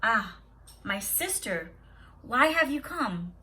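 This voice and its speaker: A wise, gentle woman from a storybook folktale, voiced by a woman reading aloud. Her voice is bright and fairly high, probing and full of wonder. It is heard slightly away from the microphone. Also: sing-song, slow pace, recorded from a distance, exaggerated voice. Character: wise, gentle woman, sister of a spirit being.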